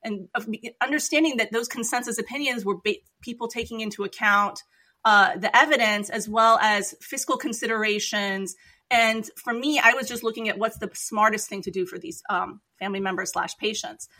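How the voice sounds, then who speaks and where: Bright-voiced woman doctor from Iran. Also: a little high. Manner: earnest, measured, with light laughs. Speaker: physician guest. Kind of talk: reflective interview talk.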